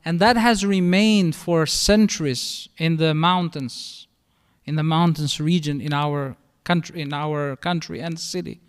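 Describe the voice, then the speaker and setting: Middle-aged Albanian-accented male imam, somewhat high and smooth-voiced, explaining patiently in a reverberant mosque hall. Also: steady tone, a little slow. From northern Albania. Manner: explanatory, conversational teaching with restarts. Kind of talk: religious lecture.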